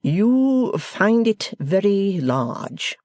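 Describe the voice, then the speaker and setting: A narrator voicing a pompous English gentleman who speaks slowly and condescendingly, as if to a deaf child. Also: mid-range voice, very animated, no echo, clean studio sound, pitched above the performer's own voice, full character voice. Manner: slow, loud, over-explaining as if to a child. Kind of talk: audiobook character voice.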